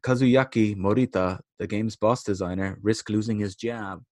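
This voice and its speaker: A man's voice: on the low side, moderate pace, a little mellow, dry recording. Playful man hosting a casual quiz. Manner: playful and teasing, laughing often. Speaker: quiz host. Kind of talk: casual chat.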